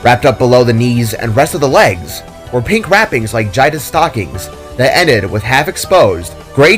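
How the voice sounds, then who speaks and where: A man narrates a fantasy story in a descriptive, measured way. His voice sits at an average pitch for a man. Soft music plays beneath the dramatic storybook reading.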